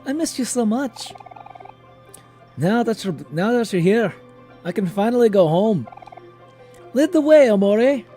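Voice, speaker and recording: A man voicing a gentle, earnest young friend from a horror game, reading the dialogue in a reassuring way. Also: high-pitched, animated, a little slow, long pauses, faint music behind, voice pushed up. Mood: relieved. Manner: soft-hearted, reassuring, reading dialogue aloud.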